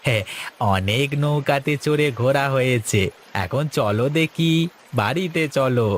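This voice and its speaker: A man's voice: medium pitch, measured pace, a little hiss or hum, natural voice. A man voicing a caring, humble village boatman and devoted father in a cartoon. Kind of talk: cartoon voice acting.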